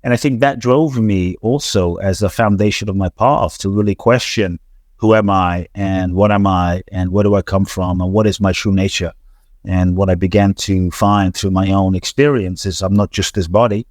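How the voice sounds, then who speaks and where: A man with a lowish voice talks earnestly as an interview guest. His speech is reflective and full of restarts and repeated words. The sound is dry and close. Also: steady tone, normal speed, dry recording. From grew up in London. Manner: earnest, repetitive, frequent restarts and stammered repeats. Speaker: transformational teacher, podcast guest.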